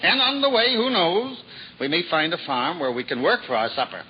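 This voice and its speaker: A humble, cheerful wandering juggler, chattering earnestly and stumbling over his words when flustered. His voice sits high and swings widely in pitch. It comes from a dull, hissy old radio recording. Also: sing-song, fairly quick. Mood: hopeful. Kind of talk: 1950s radio drama acting.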